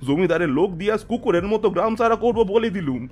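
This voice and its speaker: A fiery, obstinate poor villager for an audio story. His voice is fairly high and raspy, and he speaks in blunt, agitated bursts. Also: expressive, fast talker, soft background music. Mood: furious. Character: stubborn hot-tempered poor villager, fiercely defiant.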